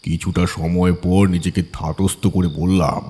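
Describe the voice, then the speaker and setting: Deep, slow male horror narrator with reverb. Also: level tone, dark tone, slightly rough. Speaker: audiobook narrator. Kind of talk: scripted horror story narration. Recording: long hall-like reverb.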